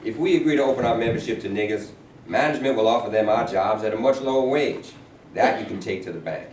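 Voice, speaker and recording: A man's voice: fairly low pitch, quick pace, a little mellow, natural voice. A man reading a pragmatic, plain-spoken Southern union president in a staged play. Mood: firm. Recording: echoey room, distant mic, faint noise.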